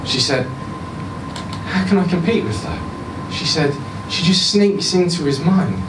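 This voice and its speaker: Man, 30s, live story reader, lively pitch, roomy bar sound, caught from a distance. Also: measured pace, background noise. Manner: voices the woman's lines higher, shifts to quiet and low near the end. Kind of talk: live reading of a short story. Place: small bar with audience, indoors. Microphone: stand mic, filmed from a far camera.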